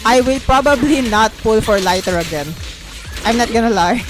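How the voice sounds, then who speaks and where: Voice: mid-range voice, lively intonation, moderate pace. Rambling livestreamer with music behind. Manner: rambling, repeats phrases. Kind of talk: casual livestream chat.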